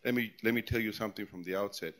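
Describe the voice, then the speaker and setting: A man's voice: on the low side, rapid-fire, fairly bright tone. A man in his forties from Peru, speaking as a guest speaker, giving a conversational talk with frequent restarts and repeated words. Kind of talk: informal tech talk to employees.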